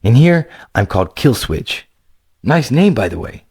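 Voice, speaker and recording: A man's voice: medium pitch, very animated, unhurried, darkish tone, breathy edge, no echo, clean studio sound, voice pushed up. A man voicing a playful male gamer friend who greets in a chirpy, teasing way in a storybook reading.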